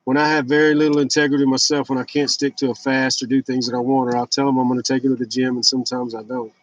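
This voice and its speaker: A man's voice: mid-range voice, little pitch movement, fast talker, no room sound, poor audio. A man in his fifties talking casually and candidly in a rambling, blunt, confessional personal vlog. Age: 50s. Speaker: vlogger sharing fasting and fitness journey.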